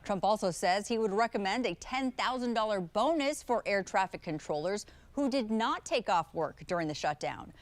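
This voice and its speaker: A woman's voice: mid-range voice, lively intonation, moderate pace, hardly any pauses, no room sound. An American woman reading scripted television news as an anchor.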